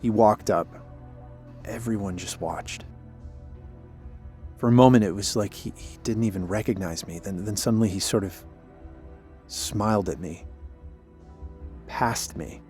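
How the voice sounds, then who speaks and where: An actor playing a guilt-ridden, defensive former park warden in an audio drama, telling things in a weary, halting way. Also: male voice, lowish voice, a little mellow, soft background music, close to an everyday voice. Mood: somber, haunted. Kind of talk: cinematic audio drama acting, naturalistic.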